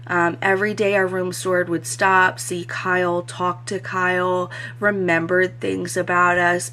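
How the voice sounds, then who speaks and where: A woman talking casually as a cruise vlogger, her voice a bit hoarse from crying the day before, with lots of "um" fillers. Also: on the low side, a bit bright, slight background noise. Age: adult. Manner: chatty, frequent "um" fillers. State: slightly hoarse voice after crying. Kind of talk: casual review vlog.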